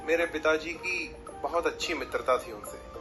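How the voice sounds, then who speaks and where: Man acting as earnest young villager, cartoon story, respectful, pleading, higher-pitched, background music. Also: moderate pace, close to an everyday voice. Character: humble, earnest young village husband seeking work.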